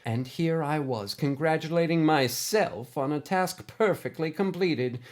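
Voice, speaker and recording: A man's voice: on the high side, measured pace, pitched above the performer's own voice, lightly acted. A man voicing a kindly but exacting older woman, a former schoolteacher, speaking formally and precisely in a story. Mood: concerned, apologetic. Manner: formal, precise, gently scolding.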